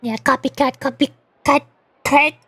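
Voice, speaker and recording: High-pitched chatty woman streamer. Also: fairly even intonation, a little slow, a bit bright, no echo. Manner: rambling, chatty. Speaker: streamer and virtual YouTuber. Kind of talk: casual live stream chat while reading game dialogue.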